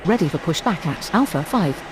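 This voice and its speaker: A man's voice: a little high, lively intonation, a little fast, natural voice. Guy as pilot doing procedural radio calls, really noisy, hissy engine sound. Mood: businesslike. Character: businesslike airline pilot and clearance controller. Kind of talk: quick air traffic radio phraseology. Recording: loud hiss and engine noise.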